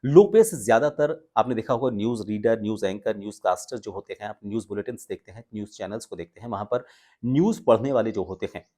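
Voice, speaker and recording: A man presenting a lesson as an instructor, explaining deliberately and pausing for emphasis. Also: fairly low pitch, lively intonation, mellow. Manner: measured, explanatory, deliberate phrasing with pauses for emphasis. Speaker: voice training instructor. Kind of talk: instructional talk on voice modulation, presenting to viewers.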